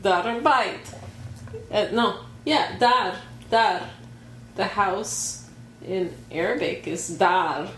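Woman, unhurried storyteller, slightly high voice, roomy echo. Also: a bit bright. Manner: slow, storytelling, laughs often. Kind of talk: casual chat. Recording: roomy echo, faint background noise.

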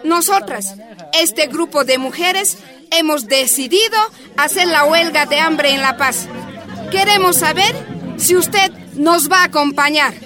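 An actress playing a determined, impatient miners' activist in a radio drama, insistent and declamatory, with a Bolivian accent. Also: female voice, high-pitched, measured pace, crowd noise.

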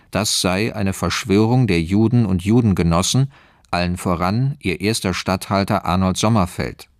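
A man reading scripted historical narration for a radio play in a measured, factual manner. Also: low voice, vocal fry, no echo, clean studio sound.

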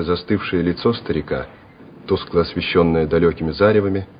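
A man narrating a dramatic literary story for radio, measured and expressive. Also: lowish voice, a little hiss or hum. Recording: muffled old radio recording, faint music.

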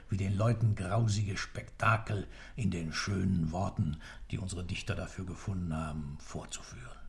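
A man acts an intense accused storyteller in a radio play. His lowish voice is emphatic and insistent, shaping literary prose theatrically and at times sinking toward a whisper. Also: darkish tone, natural voice.

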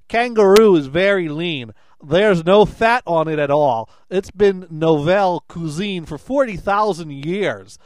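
Brief: man doing a boisterous Aussie restaurateur, higher and louder than his own voice, fake accent slipping. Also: no room sound. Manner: overdone loud fake Australian accent. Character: Australian restaurant co-owner, enthusiastic man.

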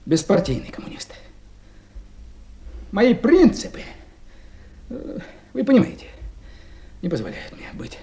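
An actor playing a fussy, self-righteous male tenant in a radio play, whining and ingratiating, halting and dropping into mutters and whispers. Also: high-pitched, dark tone, heavily acted. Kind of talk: Soviet radio play acting.

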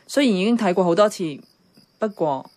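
A woman's voice: medium pitch, animated, a little fast, a little mellow, close to an everyday voice. A woman playing a caring, nostalgic young niece who narrates and acts in a radio drama, with a Hong Kong accent. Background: music.

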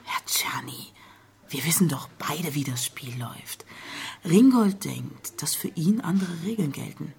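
An actress playing a knowing, cynical blogger in a radio crime drama, creaky-voiced, measured and insinuating. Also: female voice, low-pitched, animated, a little mellow, full character voice. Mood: knowing, confiding. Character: knowing, cynical woman blogger informing police.

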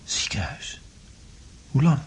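A man's voice: slow, whispered, lightly acted. An actor in a Dutch radio play playing a depressed, sleepless, recently separated man who speaks hesitantly. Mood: groggy, troubled. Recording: noisy old radio drama recording.

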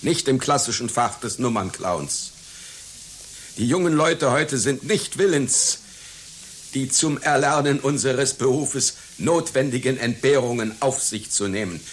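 An actor playing a vain, melancholy aging circus clown in a radio play, pompous and halting, with stammers. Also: male voice, mid-range voice, slightly rough. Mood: haughty, melancholy. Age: older. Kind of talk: satirical radio play acting. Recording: hissy radio play recording.

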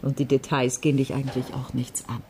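A woman's voice: a bass voice, little pitch movement, fairly quick, darkish tone, slight background noise. An actress playing a wistful, passionate woman confiding memories of a past love in a radio drama. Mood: teasing. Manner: confiding, reflective.

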